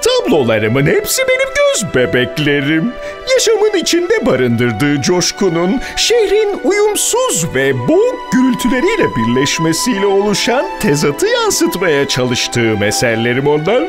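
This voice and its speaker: A woman's voice: on the low side, huge pitch swings, a little slow. A woman voicing a boastful male artist bird in a cartoon, theatrical and grand. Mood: pompous. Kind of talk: cartoon voice acting. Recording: cartoon soundtrack with music.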